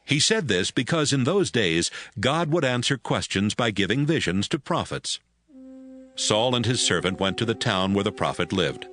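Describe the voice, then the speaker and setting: A male narrator reads scripture with a fairly low voice. His measured storytelling has lively, expressive intonation.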